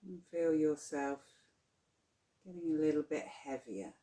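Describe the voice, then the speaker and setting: A meditation guide speaks very slowly, leading deep relaxation with gentle, soothing instructions. The delivery is unhurried and spacious. The recording has a noticeable roomy echo around the voice. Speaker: yoga nidra guide. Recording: roomy, echoing sound.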